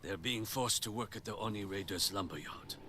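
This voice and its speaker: Low-voiced seasoned spear master played by a man. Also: natural voice. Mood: earnest. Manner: instructive, measured, commanding. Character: seasoned male spear master, stern yet encouraging teacher. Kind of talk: video game character acting. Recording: clear noise of game ambience.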